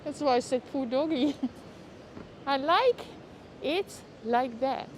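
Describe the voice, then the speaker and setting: A woman's voice: high-pitched, animated, slow pace. A woman with a Dutch accent hosting a live walking tour outdoors, chatting with her viewers and reacting to what she passes. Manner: chatty, reacts aloud, talks to viewers by name. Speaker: livestream walking-tour host. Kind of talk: casual live walking commentary. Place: outdoors on city streets. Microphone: lapel mic while walking. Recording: street noise behind.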